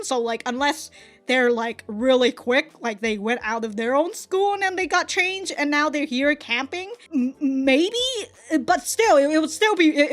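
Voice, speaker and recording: Woman, 30s, reaction host: slightly high-pitched, blunt and sweary, lots of laughs and stammered restarts, soft music bed. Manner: blunt and profane, laughing often; halting, with many restarts and stammers. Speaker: anime reaction reviewer. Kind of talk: casual reaction commentary.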